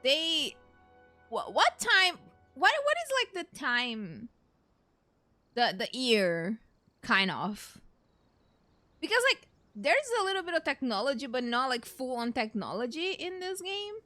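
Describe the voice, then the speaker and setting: A woman's voice: high-pitched, big pitch swings, measured pace, sharp tone. A woman doing casual, chatty and rambling let's play commentary as a gaming streamer. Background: faint game music.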